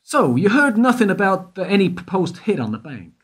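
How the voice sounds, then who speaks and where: A man's voice: high voice, sing-song, a little fast, slightly rough, exaggerated voice. An actor playing a persistent male investigator who needles a witness with questions in a radio play. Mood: probing. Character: probing male detective questioning a guard. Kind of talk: amateur radio play acting.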